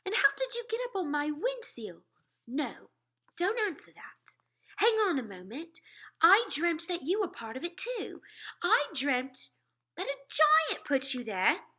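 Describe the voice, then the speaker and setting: A woman voicing an astonished, imperious queen in a storybook read-aloud, moving between hushed murmurs and sharp commands. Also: very high voice, wildly swooping pitch, measured pace, pitched above the performer's own voice, heavily acted. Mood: composed, curious. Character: queen, poised, imperious, astonished older royal lady.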